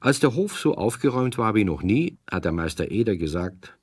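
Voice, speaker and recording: An actor playing the narrator of a children's radio play, telling the story with wry, measured humour. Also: male voice, lowish voice, big pitch swings, slightly rough, dry recording, studio quality.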